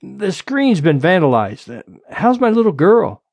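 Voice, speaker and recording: A panicked, loving husband on the run in an audiobook thriller, played by a male narrator. He speaks in halting, urgent stammers, with a raised, very mobile pitch. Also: sing-song, darkish tone, no room sound, heavily acted. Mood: strained, tender. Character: hunted, distraught husband and father, loving but panicked.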